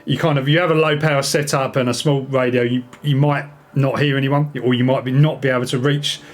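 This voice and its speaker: A man's voice: medium pitch, fairly even intonation, a little fast. A man hosting a hobby video in an informal, rambling, enthusiastic way, chatting casually to his viewers. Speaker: video host, radio hobbyist. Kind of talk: casual chat to camera.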